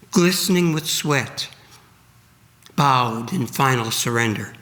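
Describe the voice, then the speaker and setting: A man with a fairly high, forceful voice narrates a sacred story. His delivery is solemn and dramatic, very slow, and broken by deliberate pauses. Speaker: reader at a church Good Friday service.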